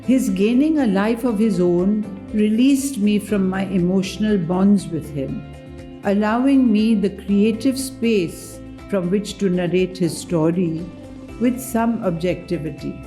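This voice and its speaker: A woman reading a memoir aloud as a dramatic literary reading, with measured, deliberate phrasing. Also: mid-range voice, mellow, soft background music. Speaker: reader at a book event.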